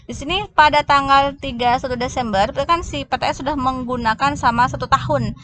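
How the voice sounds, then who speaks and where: A woman giving a step-by-step explanatory lesson as a lecturer, in a halting way, often restarting words. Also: high-pitched, steady tone, a bit bright. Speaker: accounting lecturer. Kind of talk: explanatory lesson walking through worked examples. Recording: noticeable background noise.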